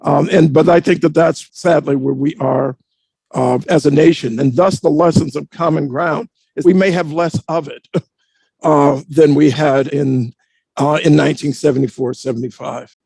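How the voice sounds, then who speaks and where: A man speaking as a reporter on a discussion panel, reflective, with deliberate, halting, measured phrasing. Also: a little high, fairly even intonation, vocal fry, darkish tone, slightly rough, no echo, poor audio.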